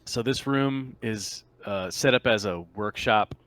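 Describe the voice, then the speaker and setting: A man speaking as game master, casually narrating a tabletop roleplaying game and pausing to think as he describes things. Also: on the low side, a bit bright, no room sound.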